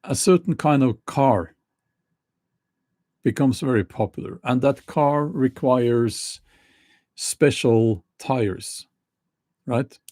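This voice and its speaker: A middle-aged man with a Norwegian accent talking casually to camera, slow and halting with many restarts. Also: mid-range voice, darkish tone, no echo, clean studio sound. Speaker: YouTuber.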